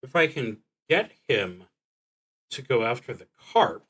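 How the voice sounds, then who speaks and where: A man streaming a solo tabletop roleplaying game, thinking aloud in a halting, deliberate way with pauses mid-thought. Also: medium pitch, sing-song, a bit bright, husky.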